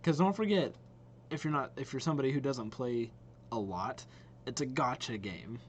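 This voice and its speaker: A man's voice: medium pitch, normal speed, slight background noise. A young man hosting a reaction video, musing aloud in casual, informal commentary and often repeating his exclamations.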